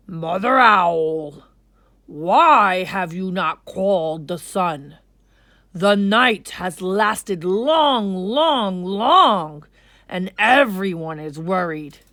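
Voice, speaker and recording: A woman voicing a grave, commanding lion king in a storybook, with regal, deliberate proclamations. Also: expressive, bright, forceful tone, no room sound, clean studio sound, heavily acted. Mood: grave, concerned. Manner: regal, booming, slow proclamations.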